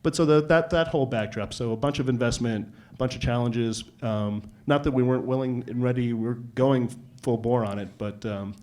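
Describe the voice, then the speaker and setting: A man's voice: medium pitch, a little fast, few pauses, a little mellow. A man speaking as an investor in a panel interview, reflecting casually in loose, colloquial, musing phrases.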